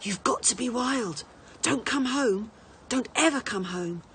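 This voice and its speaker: A woman's voice: a little high, lively intonation, measured pace, fairly bright tone, a little hiss or hum, pitched above the performer's own voice. A woman voicing a passionate, distraught seven-year-old boy in a storybook reading, pleading emotionally. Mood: tearful urging.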